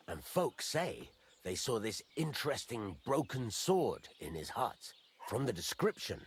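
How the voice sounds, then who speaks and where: An actor playing a gruff, fatherly middle-aged master blacksmith in a medieval video game, blunt and folksy, teasing as he talks. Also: fairly low pitch, full character voice. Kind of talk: video game dialogue acting.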